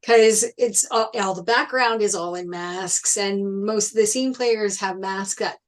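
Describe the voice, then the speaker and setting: A woman's voice: mid-range voice, measured pace. A woman in a casual group chat, sharing observations in a reflective, conversational way. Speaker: discussion participant.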